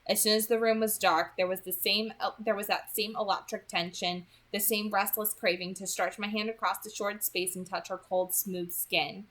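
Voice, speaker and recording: A woman's voice: mid-range voice, steady tone, normal speed, hardly any pauses, fairly bright tone. A woman reading a novel aloud as a hobby audiobook narrator, telling the story expressively.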